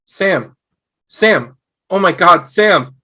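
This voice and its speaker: A man's voice: high voice, lively intonation, very slow, pushed and bright, full character voice. A man in an amateur table read playing a stubborn, dryly humorous young husband. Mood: panicked. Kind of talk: amateur table read acting over video call.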